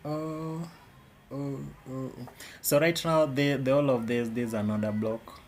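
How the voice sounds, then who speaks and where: A man's voice: medium pitch, steady tone, a little slow, darkish tone, slight background noise. A man with a Kenyan accent giving casual live commentary on his trades, often repeating words and phrases. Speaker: day trader.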